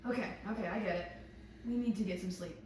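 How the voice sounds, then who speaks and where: A woman's voice: medium pitch, fast, darkish tone, lightly acted. Woman reading a teasing protective big sister, echoey room. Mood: playful, insistent. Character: Rose, teasing, protective older sister in a survival story. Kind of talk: script table read. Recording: echoey room, distant mic, faint noise.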